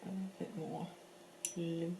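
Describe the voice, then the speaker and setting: A woman's voice: lowish voice, completely flat delivery, slow pace, background noise. A woman teaching a live painting class from her home, chatting casually as she works and thinking aloud with fillers and restarts. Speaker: art teacher. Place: home in a French village.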